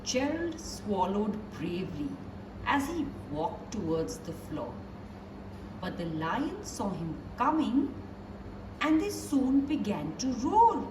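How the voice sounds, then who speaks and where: A woman reading a children's picture book aloud in an expressive storyteller's way, talking directly to young listeners. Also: mid-range voice, slow pace. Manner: animated storyteller addressing young listeners with questions. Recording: roomy, distant mic, clearly noisy background.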